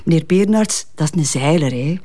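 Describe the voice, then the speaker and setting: A woman's voice: low-pitched, expressive, normal speed, mellow. An actress playing a grieving, protective mother in a Flemish crime drama, speaking hesitant, colloquial West Flemish with repeated words. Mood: chatty.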